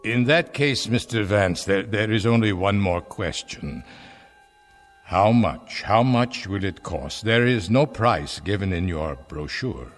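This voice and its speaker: A man's voice: lowish voice, animated, slow. An actor in a radio drama playing a weary, earnest old husband, hesitant and emotional in his speech. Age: older. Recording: long hall reverb, music underneath.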